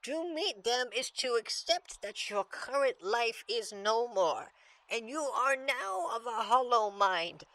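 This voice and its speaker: A woman's voice: on the high side, animated, a bit bright, dry recording, low-quality recording. A woman playing a sly, sinister old antique shopkeeper with a condescending manner, in a horror audio drama. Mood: ominous, dismissive. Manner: slow, condescending endearments.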